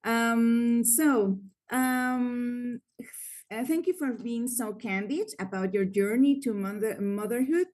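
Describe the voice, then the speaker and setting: Woman interviewer, highish voice, polite, measured, lots of um. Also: a little mellow. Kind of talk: interview questions.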